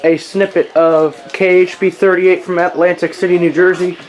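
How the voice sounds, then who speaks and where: A man talks casually to his camera in an off-the-cuff, halting way. His voice sits a little higher than average, and he sounds like a hobbyist making his own video. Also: flat delivery, crowd noise.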